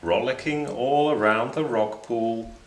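A man in his thirties reading a rhyming children's picture book aloud in an expressive, measured, dramatic way. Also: mid-range voice. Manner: measured, dramatic delivery for young listeners. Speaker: children's storybook reader. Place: small indoor room beside bookshelves. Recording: roomy indoor echo.

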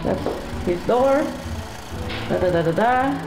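A middle-aged woman talks slowly and haltingly as she points out room after room, her voice sitting a little high. Her voice is picked up by the built-in mic of a home camcorder, echoing off the bare rooms, with music playing loudly over the sound. The overall sound is homemade and unpolished. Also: lively intonation. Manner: halting, pointing things out one by one. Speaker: mother giving a house tour. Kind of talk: casual home-video narration. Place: inside a family house, walking room to room.

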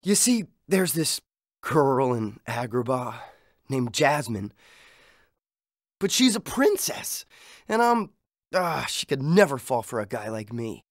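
An earnest young street adventurer from an animated game. His delivery is good-hearted and lively, swinging in pitch, in a close dry recording. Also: slow pace, pauses often, no echo. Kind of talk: animated game hero acting.

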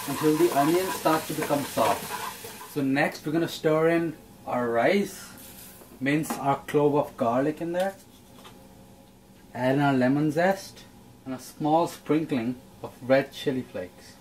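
A man's voice: fairly high pitch, unhurried, a little hiss or hum, poor audio. A young man hosting a cooking channel, walking through a recipe step by step in a friendly, upbeat way in a small home kitchen. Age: young adult.

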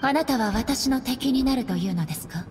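A woman playing a solemn, resolute warrior goddess in a Japanese anime game, speaking formally in a grave, measured manner. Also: high voice, expressive, darkish tone, faint music behind. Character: solemn, resolute warrior goddess and her stern puppet shogun.

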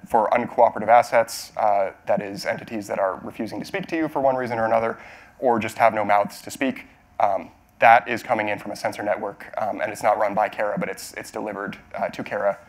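A man in his thirties answering questions as the speaker at a technical talk, explaining in a halting way with hedges and self-corrections. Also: lowish voice, fairly even intonation. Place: auditorium stage at a podium.

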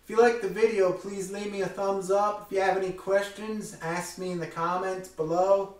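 A man's voice: high voice, little pitch movement, normal speed, darkish tone. A middle-aged man giving a casual hands-on demonstration in a folksy, informal, plain-spoken way. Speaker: hobby vlogger demonstrating a product. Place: small indoor room at home. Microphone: camera a few metres away. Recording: very echoey room, distant mic, faint noise.

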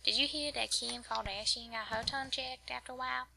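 A woman's voice: fairly high pitch, steady tone, fairly quick, gravelly, background noise. A young woman co-hosting a casual pool game video, giggly and prone to whispered asides. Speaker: gamer co-host. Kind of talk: casual gaming chat.

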